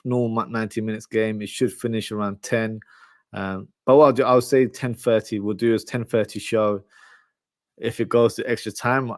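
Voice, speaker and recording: A man's voice: lowish voice, dry recording, studio quality. A man who speaks Urdu at home, hosting a casual live football preview and talking informally and directly to his viewers. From Pakistani family from Lahore. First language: Urdu spoken at home. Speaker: football podcast host. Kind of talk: casual live chat, match preview and reading comments.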